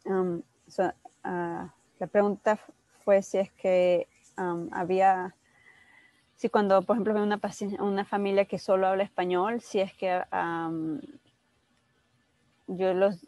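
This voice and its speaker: A woman doctor answering patients' questions on a video call, speaking haltingly with many restarts. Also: mid-range voice, little pitch movement, mellow, dry recording. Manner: halting, many restarts, switches between English and Spanish. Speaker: doctor at a cystic fibrosis center.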